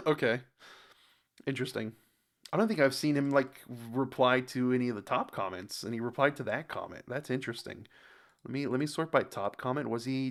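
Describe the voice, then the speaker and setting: A man with an American accent hosting a reaction video in casual commentary, a bit halting and stumbling over words as he reads aloud. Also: mid-range voice. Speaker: host of a reaction channel.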